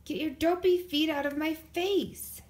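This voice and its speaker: Lady reading as grumpy little storybook bear brother, high n loud, snarly complaining. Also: unhurried, slight background noise. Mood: angry, shouting. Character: cross young boy bear cub, shouty big brother.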